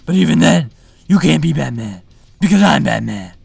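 A man playing a brooding, boastful masked superhero in a parody vlog, with a raspy growl and a deadpan, self-important delivery. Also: fairly high pitch, normal speed, no echo, heavily acted. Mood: boastful, instructive. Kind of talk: parody vlog monologue to camera.

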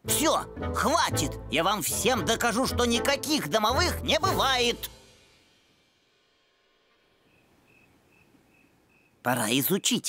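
A woman voicing a pompous, bookish know-it-all boy dog in a children's cartoon. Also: low voice. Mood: indignant, determined. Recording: music behind the voice.

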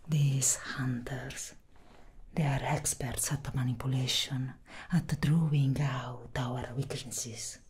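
Elder vampire sister, acted by a woman: low, slow, breathy, imperious and menacing, ASMR roleplay. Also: a little mellow. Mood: reassuring, solemn. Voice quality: breathy, whispery. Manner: measured, imperious. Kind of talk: soft-spoken ASMR roleplay.

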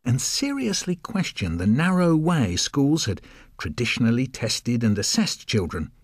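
A man's voice: mid-range voice, big pitch swings, measured pace. A man in his sixties with an English accent hosting a panel discussion in a conversational, questioning way. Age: 60s. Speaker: host.

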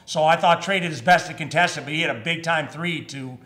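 Gray-haired coach at a press table mic. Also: male voice, on the high side, bright, forceful tone. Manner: measured, with frequent fillers and restarts. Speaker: head basketball coach. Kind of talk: postgame press conference. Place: press room in front of a sponsor backdrop. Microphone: table microphone.

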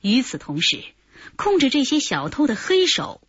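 A woman narrating a radio crime drama in a measured storytelling voice. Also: high-pitched, wildly swooping pitch, breathy edge, no room sound. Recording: dull lo-fi radio drama audio.

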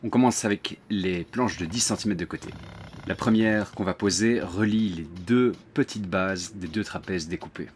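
A man narrating a do-it-yourself building tutorial in a relaxed, friendly voice-over, slipping slang into his step-by-step instructions. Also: low-pitched, normal speed, a little hiss or hum. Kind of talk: casual DIY tutorial voice-over. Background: faint music.

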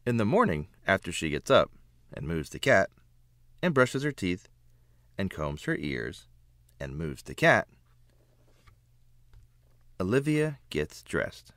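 A man reading a children's picture book aloud with expressive, emphatic phrasing. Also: deep voice, takes it slowly, pauses often, dry recording, clean studio sound. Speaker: children's book reader, narrator. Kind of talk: read-aloud storybook narration.

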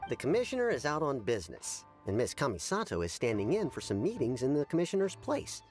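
A formal, polite guard in a game, animated delivery. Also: male voice, mid-range voice, fast. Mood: courteous. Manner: courteous, formal. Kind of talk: video game dialogue. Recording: faint game music behind.

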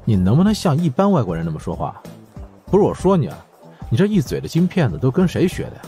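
A man voicing a brusque, world-weary department director in an audiobook, husky and curt, with a dismissive grumble. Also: medium pitch, wildly swooping pitch, fast talker, dark tone, soft background music, pitched above the performer's own voice. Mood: irritated.

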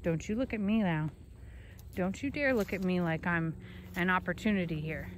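A woman's voice: fairly low pitch, normal speed. Woman, casual off-camera narrator, phone in a pasture, talks to livestock, faint outdoor noise. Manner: chatty, talks to the animals, scolding and fond. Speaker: ranch owner filming her animals.

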